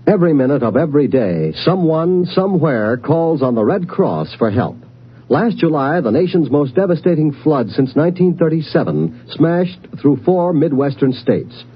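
A man's voice: on the high side, breathy edge. A man reading a scripted 1950s radio charity appeal as announcer, in an earnest, emphatic way. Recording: dull vintage radio recording.

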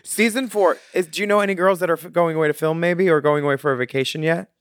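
A man's voice: fairly high pitch, fairly even intonation, fast, clean tone, no room sound, clean studio sound. A man co-hosting a podcast, teasing and witty in casual chat. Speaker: podcast co-host.

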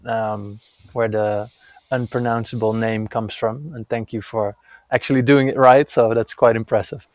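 A man's voice: medium pitch, steady tone, normal speed, no room sound. A man from the Netherlands, a podcast guest, speaking thoughtfully with some hesitation. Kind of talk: podcast interview.

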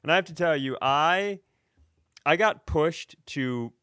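A man's voice: a little high, expressive, slow, sharp tone, clean tone, no room sound, studio quality. A man from Minnesota talking casually as a podcast co-host. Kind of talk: casual spoken podcast talk.

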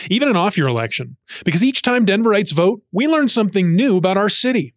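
A man's voice: high-pitched, dry recording, studio quality. A man with an American accent, hosting a podcast in a casual, chatty style. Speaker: podcast host.

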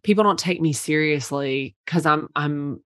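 A woman's voice: low-pitched, a little slow, no echo, studio quality. A woman in her thirties, a PR company owner, telling stories in a casual podcast chat, full of "like" fillers. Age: 30s. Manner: chatty, many "like" fillers, animated storytelling.

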